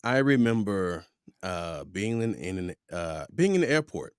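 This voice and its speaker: Animated man hosting a podcast. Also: low voice, a little slow, smooth, no echo, studio quality. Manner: animated, rambling storyteller. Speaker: podcast host. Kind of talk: casual interview chat.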